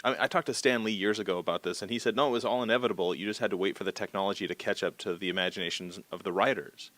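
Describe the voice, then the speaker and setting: Male interviewer, lowish voice, casual and keen, halting restarts, dry close recording. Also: fairly even intonation, fairly bright tone, no echo.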